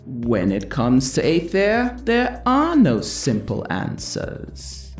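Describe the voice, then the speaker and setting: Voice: mid-range voice, wildly swooping pitch, exaggerated voice. An actor playing a huge, ancient female dragon, speaking formally and with stern caution in an audio story. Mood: grave warning. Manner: slow, deliberate, formal, admonishing. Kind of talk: scripted audio story acting. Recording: echoing voice over music.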